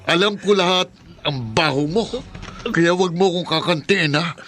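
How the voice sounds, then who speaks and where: Pushy gambler bully, high forceful voice, radio drama. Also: male voice, moderate pace, soft background music. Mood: menacing. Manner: menacing, confrontational. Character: bullying, threatening gambling crony, a man.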